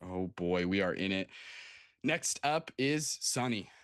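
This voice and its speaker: A man's voice: sits low, animated, takes it slowly, a bit bright, clear, resonant tone, no echo. Enthusiastic male livestream host, dry close sound. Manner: enthusiastic, effusive. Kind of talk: casual livestream introductions. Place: remote video call livestream.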